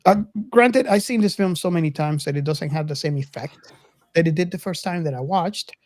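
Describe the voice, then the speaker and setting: A man's voice: on the high side, normal speed, a little mellow, no echo, studio quality. A middle-aged man co-hosting a podcast in casual film discussion, reflective and often searching for his words. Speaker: podcast co-host.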